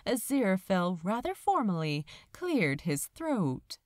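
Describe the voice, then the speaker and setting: A woman narrating fan fiction as a dramatic audiobook, with deliberate phrasing. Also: medium pitch, big pitch swings, darkish tone, no echo, clean studio sound.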